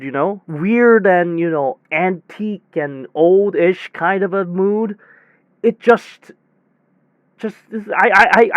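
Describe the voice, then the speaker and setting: A man acting out a casual, one-sided phone call, telling a story in a rambling, excitable way with hesitant repetitions. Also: on the high side, dry recording. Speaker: air conditioning technician character telling a story.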